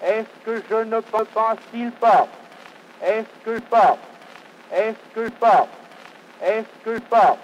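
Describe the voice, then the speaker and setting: A man teaching a language lesson, reciting pronunciation and verb drills in a measured, repetitive way. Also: sits high, slight background noise, poor audio.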